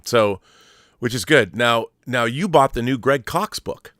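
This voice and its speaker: A man's voice: mid-range voice, a little slow, a bit bright, no echo, studio quality. A man hosting a pop culture show with a grandiose, playful, theatrical manner. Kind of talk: showy scripted-sounding intro and casual fan discussion.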